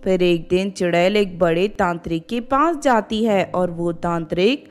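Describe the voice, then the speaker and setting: A woman's voice: on the low side, a little slow. A woman narrating a scripted children's story as a storyteller. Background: background music.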